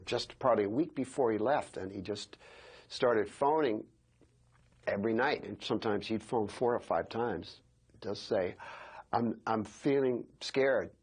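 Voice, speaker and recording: A low-pitched man with a slightly raspy voice tells a plain anecdote in an interview. He sounds a little distant from the microphone. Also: measured pace.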